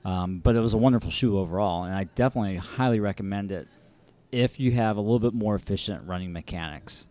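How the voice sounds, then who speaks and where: A man's voice: fairly low pitch, quick pace, dark tone. A man co-hosting a podcast, talking casually and frankly about trail running shoes, laughing easily. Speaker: podcast co-host.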